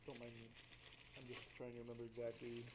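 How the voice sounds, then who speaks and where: A man running a tabletop game as dungeon master, easygoing and quick to laugh, describing scenes loosely. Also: medium pitch, completely flat delivery, heavy background noise.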